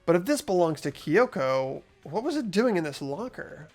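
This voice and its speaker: Earnest, worried teenage investigator for a game, played by a man: highish pitch, lively intonation. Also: moderate pace, soft background music. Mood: troubled, questioning. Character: earnest, anxious teenage boy narrating his investigation. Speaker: host of playthrough. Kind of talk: amateur game voice acting.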